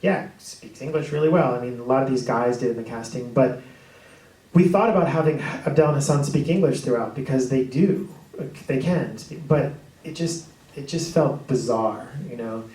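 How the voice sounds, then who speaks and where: A man, a film director, telling behind-the-scenes stories on a stage with a handheld mic, rambling and animated. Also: medium pitch, moderate pace, far from the mic. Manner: rambling, animated, gestures a lot. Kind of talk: storytelling in a panel talk. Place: hall with audience. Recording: echoey hall taped from afar.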